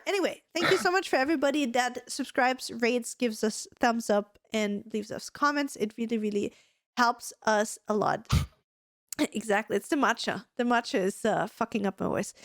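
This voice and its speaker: A woman co-hosting a casual podcast, chatty and a little hoarse, clearing her throat often. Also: on the high side, animated, normal speed, no room sound, studio quality. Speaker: podcast co-host.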